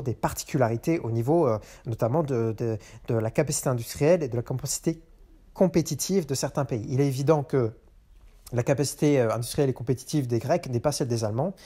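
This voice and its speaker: A man's voice: medium pitch, brisk, warm tone. Hesitant French-speaking man musing aloud. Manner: hesitant, many fillers and restarts. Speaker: podcast host. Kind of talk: unscripted monologue on economics. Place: in a car.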